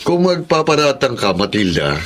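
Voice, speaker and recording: An actor playing a defensive older brother in a radio drama, bluntly scolding and challenging. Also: male voice, medium pitch, very animated, moderate pace, fairly bright tone, a little hiss or hum. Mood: reproachful, defensive. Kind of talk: Tagalog radio drama acting.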